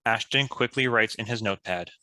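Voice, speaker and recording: A man's voice: lowish voice, a little fast, sharp tone, no room sound. A man reading stage directions as scripted narration for a table read.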